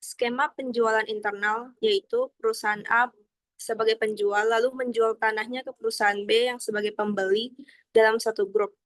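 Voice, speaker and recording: A woman's voice: fairly high pitch, fairly bright tone, dry recording, poor audio. A young adult woman gives a formal, explanatory student presentation, partly reading from slides. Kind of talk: slide presentation of accounting material, partly read out.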